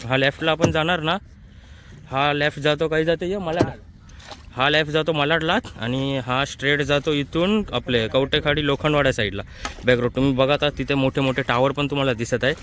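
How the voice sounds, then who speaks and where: A man's voice: a little high, steady tone, brisk, fairly bright tone. Man with Mumbai accent, noisy outdoor vlog. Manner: repetitive, pointing things out to viewers. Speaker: vlogger. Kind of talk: casual vlog commentary to camera. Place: outdoors on a small open boat on a creek. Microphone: handheld phone. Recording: noisy outdoor boat recording.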